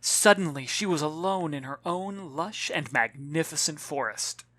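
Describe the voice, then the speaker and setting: Expressive male storyteller, dry close sound. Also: a little high, no echo. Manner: dramatic, measured storytelling. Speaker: author reading own novel. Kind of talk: expressive scripted audiobook narration.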